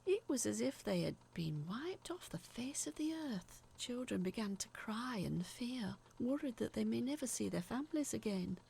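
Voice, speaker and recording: Actress as haunted female letter writer for audio drama: confiding storyteller, creaky edge, very lively intonation. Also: fairly high pitch, normal speed, few pauses, a little hiss or hum. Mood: eerie, hushed storytelling. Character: woman letter writer recalling eerie childhood tales, earnest, haunted. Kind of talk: dramatic scripted narration, read as a letter.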